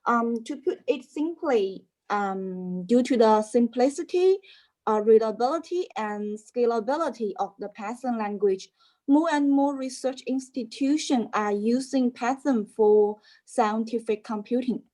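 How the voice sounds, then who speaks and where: Higher-voiced woman reading a class presentation. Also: measured pace, dark tone. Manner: careful reading with hesitations and self-corrections. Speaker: student presenter.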